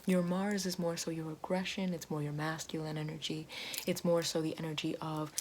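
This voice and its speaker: A woman speaking softly as an ASMR host guiding the listener, slow and hushed, often slipping into a whisper. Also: deep voice, level tone, a little hiss or hum. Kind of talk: soft-spoken ASMR roleplay, guiding a grounding exercise.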